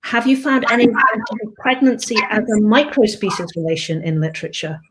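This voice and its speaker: A woman's voice: medium pitch, lively intonation, fairly quick, a bit bright, slight background noise, poor audio. A woman hosting an online seminar, thanking speakers and reading out audience questions politely. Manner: polite, reads questions aloud. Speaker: seminar host. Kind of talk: moderating questions. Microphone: video call.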